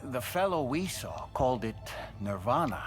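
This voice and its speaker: A man's voice: mid-range voice, sing-song, normal speed, soft background music, lightly acted. A man playing a reflective, uneasy character in a game cutscene, speaking hesitantly. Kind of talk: scripted game cutscene dialogue.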